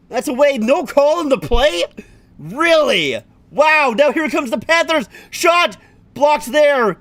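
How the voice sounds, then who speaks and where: Male streamer, high bright voice, quick hockey play-by-play with casual asides, dry close sound. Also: no room sound. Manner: rapid running play-by-play, swears, chats with viewers. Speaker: streamer hosting a hockey watch-along. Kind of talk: live play-by-play commentary.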